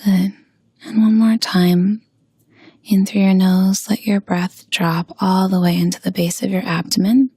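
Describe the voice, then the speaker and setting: A woman leading a guided meditation with gentle spoken instruction, pausing slowly and soothingly in a breathy, husky voice. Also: mid-range voice, fairly even intonation, no echo.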